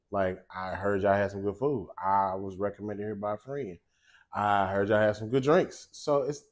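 A man in his forties hosting a casual home cooking show from his kitchen, talking straight to viewers in an animated, chatty way. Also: fairly low pitch. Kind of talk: casual cooking chat and monologue.

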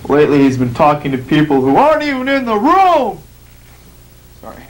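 A man's voice: fairly high pitch, wildly swooping pitch, moderate pace, slight background noise, low-quality recording. A young man hosting a comic home-video skit, overacting melodramatic worry, taped on a camcorder. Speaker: host and comic actor.

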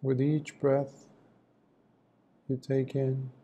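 Very mellow, slow-speaking man guiding meditation. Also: mid-range voice, monotone, lo-fi sound. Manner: gentle, unhurried, with long pauses between short phrases. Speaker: meditation teacher, Buddhist monk. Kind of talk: guided meditation, slow spoken instruction.

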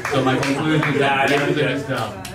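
A young man from Japan performing amateur stand-up comedy on a theater stage with a handheld mic, in an emphatic, halting way that repeats phrases. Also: mid-range voice, distant mic, heavy background noise. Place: theater stage before a live audience. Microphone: handheld wired microphone. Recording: echoey stage mic, heard from afar.

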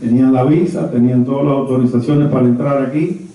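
An older Cuban man in his seventies or beyond reminisces before a small group in a conference room. His voice is very dark and muted, with a deliberate, halting storytelling delivery. It is picked up from a distance, swimming in heavy room echo. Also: medium pitch, steady tone. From Havana, Cuba. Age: 70s or older. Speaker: conference speaker. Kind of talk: personal reminiscence told to a small audience. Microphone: handheld mic, recorded from a distant camera. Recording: very echoey, muffled room from afar.

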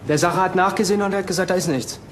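An actor playing a plain-spoken warehouse worker questioned as a witness in a crime drama. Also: male voice, high voice, rapid-fire, slight background noise, close to an everyday voice. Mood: uneasy witness. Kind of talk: crime drama acting in German.

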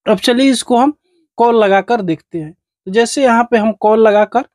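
A man's voice: very high-pitched, normal speed, darkish tone, dry recording, studio quality. A man narrates a step-by-step phone tutorial as its host, in repetitive, instructional phrasing.

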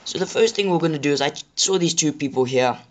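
A man with a sore throat and a slightly rough voice, chatting casually to viewers while he plays a survival game. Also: on the high side, fast. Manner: chatty, apologetic, addresses viewers directly. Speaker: gaming channel host. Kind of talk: casual gameplay commentary.

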